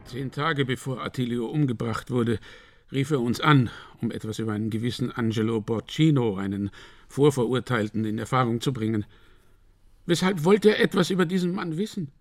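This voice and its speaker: A man's voice: medium pitch, brisk, lightly acted. An actor playing an earnest man who gives accusing, insistent testimony about a death in a radio drama. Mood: troubled.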